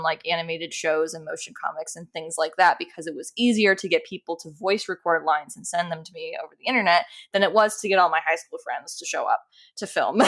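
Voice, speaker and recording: A woman with a slightly low speaking voice talks as a podcast guest. She is chatty and informal, with a self-deprecating, easygoing conversational way of explaining things. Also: fairly bright tone. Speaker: podcast guest, animator and dungeon master. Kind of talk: casual interview chat.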